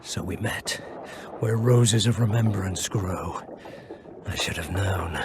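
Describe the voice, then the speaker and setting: An actor playing a badly wounded, proud and bitter elf freedom fighter in a video game, weak with pain, halting, breathy and often near a whisper. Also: male voice, sits low, noisy background. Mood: bitter, despairing. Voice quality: gravelly, breathy, falling into whispers. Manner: halting, defiant. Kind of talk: video game cutscene acting.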